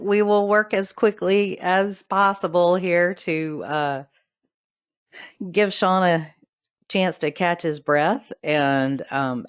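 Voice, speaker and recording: A woman's voice: fairly low pitch, no echo, clean studio sound. A woman moderating a webinar, reading out and hosting audience questions.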